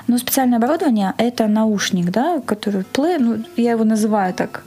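High-voiced woman explaining in an interview. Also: expressive, fast talker, mellow. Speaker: interview guest, organizer. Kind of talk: conversational radio interview.

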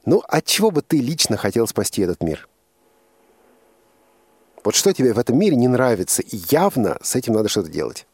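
A man's voice: mid-range voice, sing-song, brisk, long pauses, a little mellow, husky, dry recording. A man hosting an informal radio interview, probing by repeating and rephrasing his questions. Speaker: radio host interviewing a young guest.

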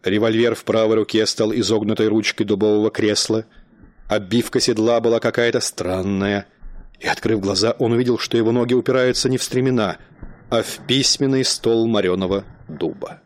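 A man narrating a short story in measured storybook style for a radio play. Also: on the low side, fairly even intonation, no room sound, studio quality. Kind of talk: scripted literary narration.

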